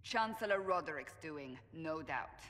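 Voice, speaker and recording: An actress playing a stern, resolute female holy warrior in a fantasy video game, speaking gravely and deliberately. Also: deep voice, lively intonation, fairly bright tone, long reverb tail. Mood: firm, stern. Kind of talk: video game dramatic dialogue.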